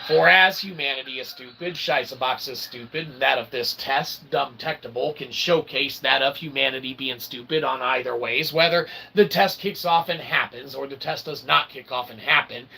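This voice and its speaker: A man's voice: medium pitch, gravelly, sharp tone, husky, low-quality recording. A man delivering a rambling philosophical stream monologue, emphatic and wordy, with convoluted academic phrasing and folksy asides. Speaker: independent channel streamer.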